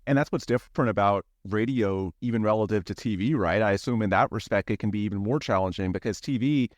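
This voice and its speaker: Brief: male host, low voice, dry close mic, steady questioner. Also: no room sound. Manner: measured, asks leading questions. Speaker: podcast host. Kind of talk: casual podcast chat.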